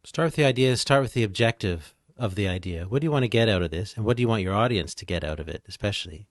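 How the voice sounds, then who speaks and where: A man speaking as an expert guest, explaining and advising conversationally in a measured, instructive way, often posing questions. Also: lowish voice, lively intonation, dry recording, studio quality.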